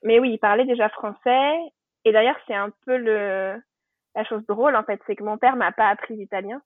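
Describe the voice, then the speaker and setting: A woman's voice: mid-range voice, steady tone, moderate pace, no room sound. A woman from France telling her family story in a casual podcast chat, full of fillers and restarts. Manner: chatty, warm storytelling with frequent fillers and restarts. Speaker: guest. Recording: tinny phone-line audio.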